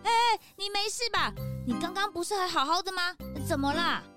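A woman doing an eager detective's assistant in a children's audio drama, very high and bright with a lively, bouncing delivery. She fusses and jumps in eagerly, with soft music behind. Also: fairly quick, heavily acted. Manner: eager, fussing.

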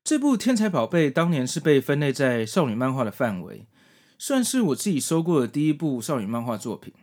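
A man's voice: medium pitch, big pitch swings, a little fast, darkish tone. A man with a Taiwanese Mandarin accent presenting a podcast in a semi-scripted, explanatory narration style. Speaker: podcast host.

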